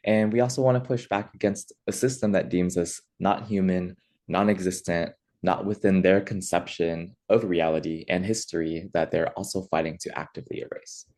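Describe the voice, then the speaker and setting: Male voice, very low pitch, earnest, measured delivery, conference host opening a session. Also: fairly even intonation, a little mellow. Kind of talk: facilitated plenary conversation, opening remarks.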